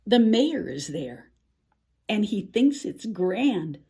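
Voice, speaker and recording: An older woman performs a rhyming storybook with theatrical expression. Her medium-pitched voice moves in lively swoops, and she goes slowly, pausing for drama as if musing over each new idea. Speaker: picture-book reader. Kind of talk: animated read-aloud of a rhyming children's story.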